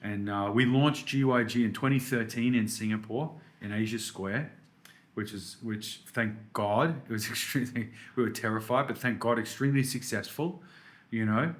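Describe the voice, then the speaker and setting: A man's voice: medium pitch, moderate pace. A man in his thirties from Australia with an Australian accent, a podcast guest chatting casually and enthusiastically, full of restarts and fillers. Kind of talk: casual interview chat.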